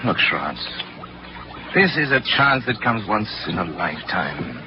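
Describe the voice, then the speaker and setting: An actor playing a middle-aged, obsessed surgeon-scientist with a clipped, authoritative manner in a 1940s radio drama. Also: male voice, low-pitched, very animated, unhurried, music in the background. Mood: cold, insistent. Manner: clipped, authoritative, coldly insistent. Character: commanding, obsessed male surgeon-scientist, father. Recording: muffled, noisy 1940s radio recording.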